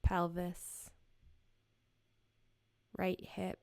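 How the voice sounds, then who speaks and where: A woman guiding a yoga nidra body scan in a gentle, soothing, unhurried manner with short, spaced phrases. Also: lowish voice, monotone, no room sound. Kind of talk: guided meditation, slow body scan.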